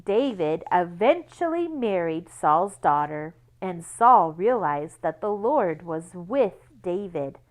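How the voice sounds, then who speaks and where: Woman, children's read-aloud, lively pitch swings, unhurried pace, explanatory teacher-like delivery. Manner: deliberate, explanatory, spells out words and numbers. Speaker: children's Bible school read-aloud reader.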